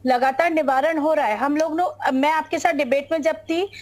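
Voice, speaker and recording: A woman religious leader speaking formally and deliberately as a guest in a TV debate, joining by remote call. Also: sits high. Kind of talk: debate commentary.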